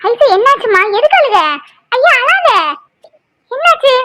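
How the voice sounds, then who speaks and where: A woman's voice: extremely high pitch, fairly quick, pushed and bright, dry recording, clean studio sound. A woman acting a feisty, protective village housewife in a drama, blunt and chatty. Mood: alarmed, comforting.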